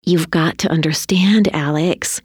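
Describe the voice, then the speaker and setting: A woman voicing a gentle, soothing mother who explains softly and hesitantly in an audiobook story. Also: mid-range voice, lively intonation, measured pace, no room sound, studio quality, voice pushed up, lightly acted. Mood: gentle, apologetic.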